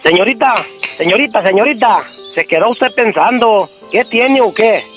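A man's voice: high-pitched, animated, normal speed, a bit bright. A man playing a cocky, randy country job-seeker with a Mexican accent, folksy and flirtatious in a comedic radio soap. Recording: music behind the voice.

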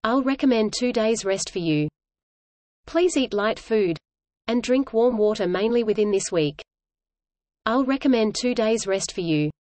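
A woman with a fairly high voice reads a scripted English-lesson dialogue, steady and measured, each line repeated for listeners to follow. The sound is dry and close, with no room around it. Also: no echo.

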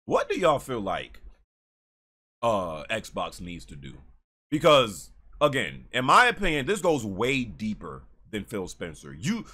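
A man's voice: fairly low pitch, wildly swooping pitch, measured pace, pushed and bright, slightly rough. An American man in his thirties ranting casually to camera as a gaming YouTuber, emphatic and given to repeating phrases. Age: 30s. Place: small bedroom, indoors.

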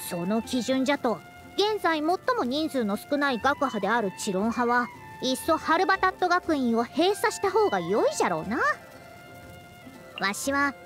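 High-pitched, haughty girlish ancient scholar. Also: very animated, moderate pace, fairly bright tone, soft background music. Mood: scornful, aggrieved. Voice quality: girlish voice with elderly turns of phrase. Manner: haughty, lecturing, old-fashioned elder speech. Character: youthful-looking century-old female scholar, proud, bossy senior. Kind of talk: Japanese anime-style game voice acting.

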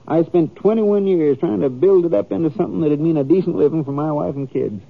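A man's voice: a little high, brisk, creaky. An American man playing an anxious, stubborn Old West farmer in a radio drama, with a rustic, stammering, pleading manner. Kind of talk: 1950s Western radio drama acting. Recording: thin, lo-fi old radio recording.

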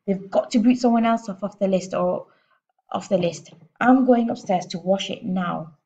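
A woman voicing a blustering, panicky middle-aged father from a storybook, loud and indignant. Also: medium pitch, very animated, a little fast, muffled recording. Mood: bossy, urgent.

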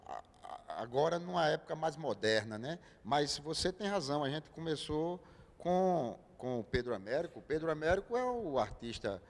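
Older man, TV interview guest, relaxed and halting, handheld mic, long studio echo. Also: on the high side. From Brazil, João Pessoa. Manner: easygoing, speaks in halting bursts with fillers. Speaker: guest visual artist. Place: TV studio set.

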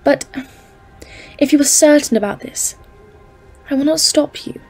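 A woman playing a cautious, stern geologist leading an expedition in an audio drama, speaking in a formal, measured, literary way. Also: sits high, gravelly, darkish tone, soft background music. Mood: firm, disapproving. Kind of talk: dramatised narration in an audio play.

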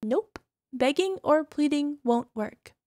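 High-pitched, sugary, possessive yandere wife acted by a woman. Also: animated, slow, a little mellow, dry recording. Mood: sweetly menacing. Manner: cooing, coaxing, sugary with controlling edge. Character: possessive, doting young yandere wife, sweetly menacing. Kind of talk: ASMR roleplay, speaking directly to listener.

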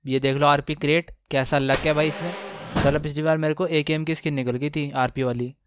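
A man giving casual, excited gameplay commentary, slangy and exclamatory, repeating phrases for emphasis. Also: on the high side, brisk, background noise. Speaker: gaming channel host.

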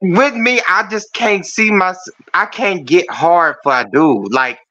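A man with a feminine-sounding voice rants on a video call show. His tone is bright and his pitch sits a little high for a man. The call audio is muffled. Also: unhurried. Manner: profane, blunt and rambling. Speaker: caller. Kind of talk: casual call-in chat.

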